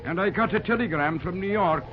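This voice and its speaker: An actor performs an Irish railroad man with a thick brogue and a teasing, easygoing way of talking. His voice sits high, and the dull old radio recording carries a clear hiss. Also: fairly quick, natural voice. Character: warm, plain-spoken older Irish railroad man. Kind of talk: 1940s radio acting.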